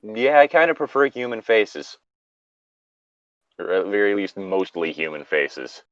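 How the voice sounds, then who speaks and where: Low, lively man reading stories. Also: a little slow, dry recording, highs cut off. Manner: joking, chatty asides. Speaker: co-host reading stories aloud. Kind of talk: story reading with casual banter.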